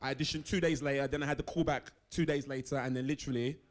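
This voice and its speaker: A young man chats easily as a guest on a live show, his voice sitting at an ordinary middle pitch. He tells his story in an animated, casual way and drops plenty of slang along the way. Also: racing. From East London, England. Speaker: actor guest. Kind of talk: casual live interview chat.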